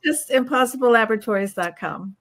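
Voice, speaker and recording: Lady podcast host, highish voice, just chatting casually with her guest. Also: animated, brisk, fairly bright tone, no echo. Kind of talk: casual chat.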